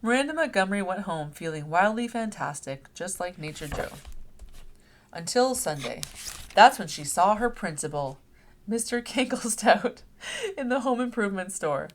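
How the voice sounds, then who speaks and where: A woman reading a picture book aloud to young children in an expressive storytelling manner. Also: medium pitch, normal speed. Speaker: read-aloud host. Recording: clear background noise.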